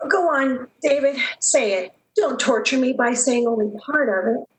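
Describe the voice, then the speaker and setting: A woman's voice: high-pitched, moderate pace, lightly acted. A woman playing a proud, lovestruck young woman in an emotive, melodramatic 1930s-style radio drama. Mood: shocked, pleading. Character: lovestruck, proud young switchboard operator, heartbroken. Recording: roomy echo, background noise.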